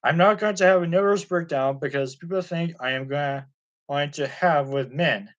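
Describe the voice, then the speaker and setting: A man reads as an indignant young male celebrity, his fairly high voice rising as he presses his questions. He sounds upset and insistent, stung by gossip rather than calm. The reading stays close to a plain audiobook voice. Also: normal speed, muffled recording, lightly acted. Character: upset young male star denying rumors.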